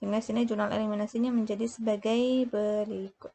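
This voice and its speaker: A woman explaining worked examples as a lecturer, in a halting way, often breaking off to correct herself. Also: mid-range voice, flat delivery, mellow. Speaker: accounting lecturer.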